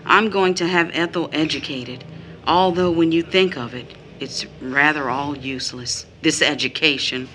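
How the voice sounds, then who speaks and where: A woman's voice: deep voice, moderate pace, fairly bright tone, a little hiss or hum. A woman playing a bitter, disillusioned mother in an audio drama, emphatic and grave. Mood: weary, bitter. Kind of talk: audio drama stage-style acting.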